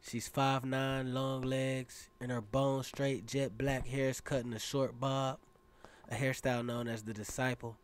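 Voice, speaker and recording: A man's voice: mid-range voice, little pitch movement, unhurried, clean tone, close to an everyday voice. A man acting an earnest, lovestruck seventeen-year-old boy who reflects aloud as he reads his letters in a radio drama. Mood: smitten, admiring.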